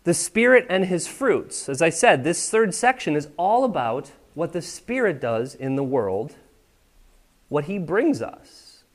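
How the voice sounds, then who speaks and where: A man's voice: a little high, sing-song, unhurried. A man preaching a sermon in a church, talking with the congregation in a conversational way and often checking that they are following. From United States. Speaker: pastor. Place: church sanctuary.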